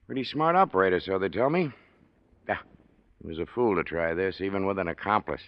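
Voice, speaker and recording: A man's voice: deep voice, animated, fairly quick. A man playing a laconic small-town sheriff in a 1940s radio drama, matter-of-fact and folksy. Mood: probing, casual. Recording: dull, dry vintage radio recording.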